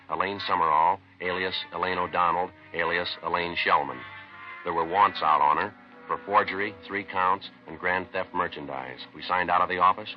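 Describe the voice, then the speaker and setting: An actor playing a stoic police sergeant in a clipped, matter-of-fact 1950s radio drama style. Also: male voice, deep voice, natural voice. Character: stoic middle-aged male police detective sergeant narrating his case. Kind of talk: 1950s radio crime drama acting and narration. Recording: dull lo-fi old radio recording, music behind.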